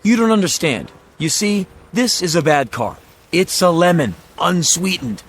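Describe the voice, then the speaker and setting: Weary honest salesman, lively pitch. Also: unhurried, a little hiss or hum. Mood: earnest, uneasy. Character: weary, compulsively honest used car salesman. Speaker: actor in a radio drama. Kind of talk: old-time radio drama acting.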